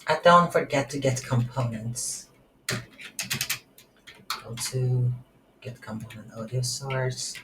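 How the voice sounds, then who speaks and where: A woman giving an unscripted screen-recorded coding tutorial, thinking aloud in halting short phrases. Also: extremely low pitch. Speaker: tutorial instructor. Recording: roomy echo, audible background noise.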